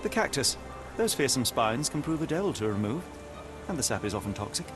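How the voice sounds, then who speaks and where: A man's voice: mid-range voice, sing-song, a little fast, over music, lightly acted. A man playing a brilliant, sardonic British detective in a video game, speaking in a precise, pompous manner. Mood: wry. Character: brilliant, haughty young male detective, impatient and sardonic. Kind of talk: video game cutscene acting.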